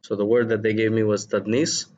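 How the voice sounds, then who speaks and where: A male teacher giving a recorded vocabulary lesson to his students, gentle and encouraging, pausing often with ums and repeated words. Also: lowish voice, level tone.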